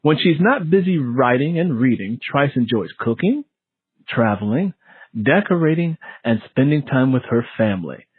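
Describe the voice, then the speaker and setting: A man's voice: medium pitch, big pitch swings, normal speed, breathy edge. A man hosting a book interview show, reading a scripted introduction and welcoming a guest. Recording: tinny phone-like line, roomy echo.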